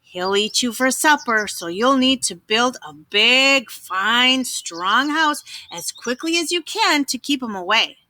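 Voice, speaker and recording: Woman as an animated, caring mother pig. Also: on the high side, takes it slowly, hardly any pauses, no echo, heavily acted, low-quality recording. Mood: caring warning.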